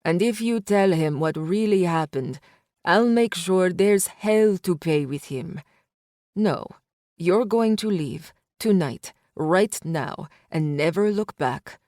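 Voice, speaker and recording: A cold, contemptuous crime-boss father from an audiobook thriller, put on by a woman reader. He speaks in a lowered, measured drawl and makes each threat land slowly. The recording is close and dry. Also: darkish tone, no room sound. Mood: threatening. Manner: slow, low, taunting. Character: menacing male gangster father, cold and threatening.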